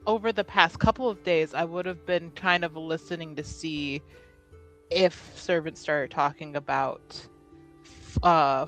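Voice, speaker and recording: Bright, giggly woman at game table. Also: deep voice. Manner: giggly, animated. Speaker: player in a tabletop roleplaying game. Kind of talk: casual improvised roleplay chat.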